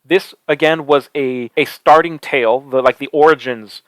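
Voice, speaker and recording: A man with a fairly high, clean and resonant voice reviews casually as a channel host. He rambles conversationally and repeats words for emphasis. The sound is dry, with no room echo. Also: moderate pace, a bit bright. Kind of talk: casual film review to the viewer.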